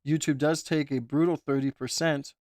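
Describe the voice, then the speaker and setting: A man's voice: fairly high pitch, fairly even intonation, moderate pace, a little mellow, no echo, clean studio sound. A man hosting his own livestream with a sore throat, chatting casually and reading viewer comments aloud. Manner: chatty, asks for likes and reads chat aloud. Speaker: livestream host.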